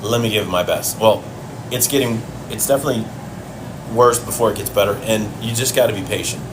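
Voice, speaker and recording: A man's voice: fairly low pitch, fairly quick, noisy background. A man from Chicago hosting a casual livestream Q and A from home, blunt and profane, answering questions while he eats. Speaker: fitness livestream host.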